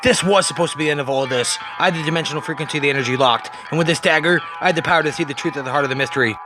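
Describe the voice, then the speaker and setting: A man acts out a brooding masked comic-book hero. The voice drops lower and turns grave and secretive, speaking solemnly with music clearly behind it. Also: natural voice. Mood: grim. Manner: grave, solemn, deliberate dramatic delivery.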